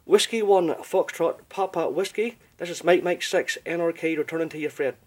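A man's voice: a little high, moderate pace. A man from Northern Ireland with a Northern Irish accent, chatting politely as an amateur radio operator, full of compliments. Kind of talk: casual ham radio contact.

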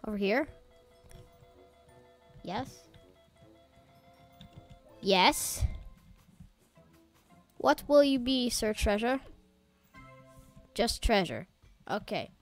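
Woman let's-play host, relaxed slow delivery, chatty and rambling, slightly off voice from allergies. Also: fairly high pitch, faint music behind. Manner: chatty, rambling, talks to viewers as "guys". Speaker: gaming YouTuber. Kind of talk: casual let's-play commentary.

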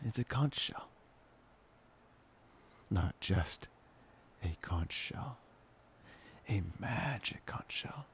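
A young man playing a soft, playful, devoted boyfriend in an intimate ASMR roleplay, breathy, hesitant and often near a whisper. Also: lowish voice, lively intonation, extremely slow, long pauses, slight background noise, full character voice. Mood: teasing, playful.

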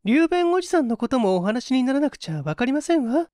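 Man acting a young lady, raised high voice, earnest, polite, feminine, storybook daughter. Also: sing-song, fast talker, a little mellow, no room sound, clean studio sound. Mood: urging. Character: young lady, gently urging her father. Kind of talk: audiobook character reading.

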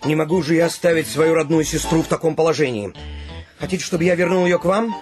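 An actor playing a stern, indignant grown son in a radio drama, curt, reproachful and sardonic. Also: male voice, on the high side, quick pace, faint music behind, lightly acted. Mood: resolute.

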